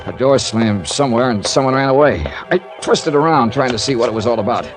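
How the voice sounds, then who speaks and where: A man's voice: medium pitch, animated, a little fast, over music, lightly acted. A man acting a hard-boiled insurance investigator in an old radio drama, dry, wry and clipped in his narration and dialogue. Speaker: narrator and lead actor playing an insurance investigator.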